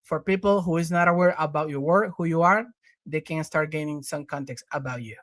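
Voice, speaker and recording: A man's voice: a little high, a little fast, fairly bright tone, dry recording, clean studio sound. A man hosting a podcast interview, talking casually with many fillers and restarts. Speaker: podcast host.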